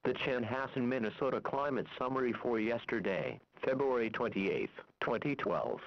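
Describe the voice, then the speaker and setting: A male weather radio voice reading forecasts and climate figures in an even, measured way. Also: mid-range voice, dry recording. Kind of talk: automated weather broadcast. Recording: lo-fi radio recording.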